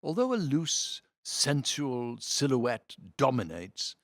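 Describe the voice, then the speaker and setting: A man reading programme notes aloud in an expressive, theatrical way, with deliberate phrasing and dramatic emphasis. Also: medium pitch, dry recording, studio quality.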